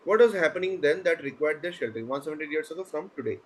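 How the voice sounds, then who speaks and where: A man's voice: fairly high pitch, lively intonation, fast. A man with an Indian English accent hosting an online quiz, reading questions steadily and chatting between answers. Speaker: quizmaster.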